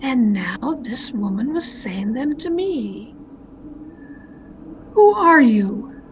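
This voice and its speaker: An actress playing a jealous, murderous mystery novelist in a radio drama, with deliberate melodramatic delivery over a home call recording. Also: female voice, a little high, lively intonation, background noise. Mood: shocked, wary. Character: jealous, murderous woman mystery novelist confessing her crimes. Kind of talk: dramatic first-person radio mystery narration. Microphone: video call.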